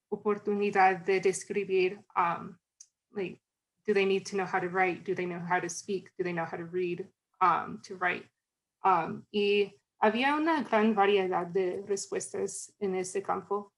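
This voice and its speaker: A woman's voice: medium pitch, fairly even intonation, a little slow, low-quality recording. A woman presenting survey results in an academic discussion, speaking hesitantly with many fillers and mixing in English phrases. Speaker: student researcher.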